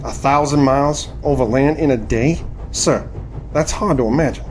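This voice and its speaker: A man's voice: mid-range voice, normal speed, lightly acted. Man playing a polite, bewildered newcomer, faint noise. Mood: disbelieving. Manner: courteous, hesitant, old-fashioned formality. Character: polite, bewildered nineteenth-century American man, naive newcomer. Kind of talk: audio drama acting.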